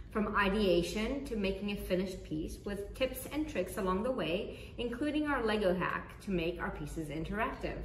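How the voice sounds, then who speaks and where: A woman's voice: mid-range voice, a little hiss or hum. A woman raised in Hong Kong, a ceramic artist, presenting a formal scripted introduction in her studio. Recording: roomy room heard from a distance.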